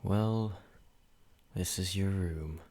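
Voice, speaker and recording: A man voicing a possessive male vampire who speaks calmly and directly to the listener in an ASMR roleplay. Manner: slow, low and measured, addressing the listener.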